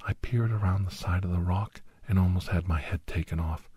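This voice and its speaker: A man playing a grave, anxious doctor and old soldier telling a battle story in hushed, halting, breathy ASMR narration that often drops into whispers. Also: deep voice, no room sound. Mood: tense, urgent. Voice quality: breathy, husky. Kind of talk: ASMR first-person dramatic story narration.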